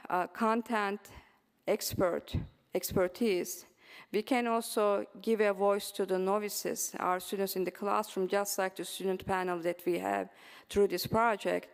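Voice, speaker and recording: Female presenter, Turkish accent, smooth voice, encouraging, filler-heavy delivery in a reverberant hall. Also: medium pitch. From Turkey. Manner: frequent um and uh fillers, earnest and encouraging. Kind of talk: conference presentation. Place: symposium hall.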